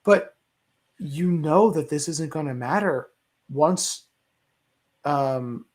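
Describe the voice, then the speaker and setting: A man's voice: a little high, expressive, slow, darkish tone. A man on a panel chatting casually and with firm opinions about films, building his arguments with rhetorical questions. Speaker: panelist on a movie discussion show. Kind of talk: casual opinionated film chat.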